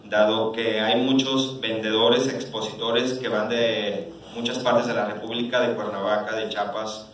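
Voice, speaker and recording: A man's voice: fairly low pitch, level tone, unhurried, few pauses, a bit bright. A man in his thirties with a Mexican accent, speaking informally as an association president at a press conference. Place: auditorium stage, indoors. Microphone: handheld mic, recorded by distant camera. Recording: echoey hall, distant camera, background noise.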